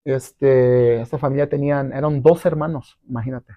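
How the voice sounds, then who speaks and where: A man's voice: medium pitch, steady tone, normal speed, no echo, phone-quality sound. A middle-aged man whose first language is not Spanish, telling his story in a casual interview, rambling with many fillers and tag questions. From abroad, not Mexico. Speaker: guest entrepreneur.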